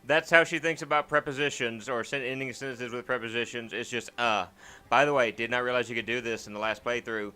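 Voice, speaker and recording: A man's voice: mid-range voice, steady tone, a little fast, pushed and bright, smooth, faint music behind. A man giving casual let's play commentary as host, rambling and joking, often repeating his words. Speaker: gaming channel host.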